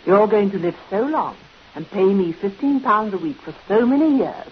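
A woman playing a kindly, brisk private nurse in a vintage radio drama, fussing and lightly scolding in a cosy way. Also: medium pitch, animated, lightly acted. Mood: gently scolding. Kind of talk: 1950s radio drama acting. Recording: muffled old radio recording, faint hiss.

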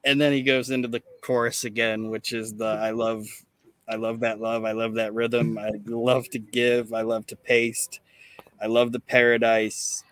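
A man hosting a casual comedy podcast, chatting and reading things out in a deadpan way. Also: medium pitch, a little slow, dry recording. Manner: deadpan, monotone when reading. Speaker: podcast host. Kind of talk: casual comedic podcast chat with mock recitation.

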